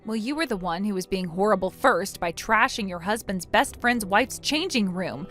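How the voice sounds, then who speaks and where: A woman's voice: medium pitch, lively intonation, normal speed, a bit bright, soft background music, lightly acted. A woman voicing a wronged bride in a melodramatic story, stern and businesslike, scolding and explaining firmly. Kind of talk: acted dialogue in a melodramatic story.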